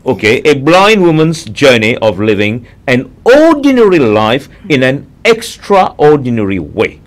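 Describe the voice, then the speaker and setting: A man's voice: medium pitch, big pitch swings, unhurried. A man hosting a conversational broadcast interview, repeating answers back and addressing viewers directly.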